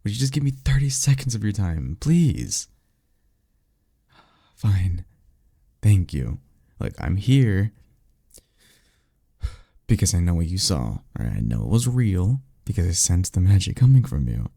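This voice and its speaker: A man playing a guarded, secretive vampire in an ASMR roleplay, speaking in a halting, insistent, colloquial and sardonic way. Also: deep voice, sing-song, creaky, dark tone, slightly rough, no room sound, clean studio sound, heavily acted. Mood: awkward, pleading. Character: guarded, secretive male vampire offering magical mentorship. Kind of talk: ASMR roleplay audio drama.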